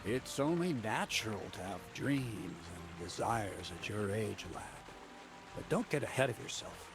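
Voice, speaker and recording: A gruff, mocking miller boss. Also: male voice, fairly low pitch, expressive, unhurried, over music. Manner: dry, mocking, bossy. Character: gruff middle-aged male miller boss.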